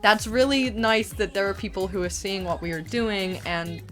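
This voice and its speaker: A woman's voice: mid-range voice, moderate pace, fairly bright tone. A woman chatting casually and informally as a series co-creator answering fan questions. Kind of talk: casual Q&A chat. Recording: music playing behind the voice. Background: background music.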